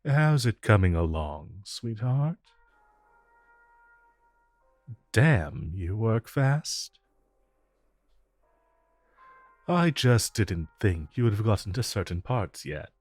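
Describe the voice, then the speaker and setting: A smug, flirtatious supervillain played by a man in a romantic audio drama, with a low voice and an unhurried, coaxing delivery. He teases as he talks, stretching out his phrases. The voice is close and dry over music. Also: very animated, dry recording. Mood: flirty, coaxing. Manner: teasing, coaxing, drawn-out phrasing. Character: flirtatious, smug infamous supervillain, a teasing regular customer. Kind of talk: romantic audio roleplay, addressed to listener.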